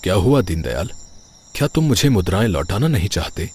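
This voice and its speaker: A man's voice: low voice, brisk, husky, exaggerated voice. Raja Bhoj, a man voicing a wise king. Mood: regal. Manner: calm and dignified. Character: kind, wise male king. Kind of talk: cartoon voice acting. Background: background music.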